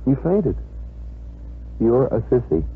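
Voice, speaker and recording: An American actor playing a brisk but tender man in a 1950s radio drama. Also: medium pitch, big pitch swings, husky, heavily acted. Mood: gentle, teasing. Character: Mark, brisk, tender man rescuing a hurt dog. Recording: old radio broadcast, narrow band, very noisy.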